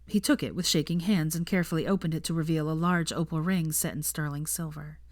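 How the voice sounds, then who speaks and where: A woman narrating a story in a measured, even reading. Her voice sits on the low side and sounds dry and close. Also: dry recording. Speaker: fan fiction reader.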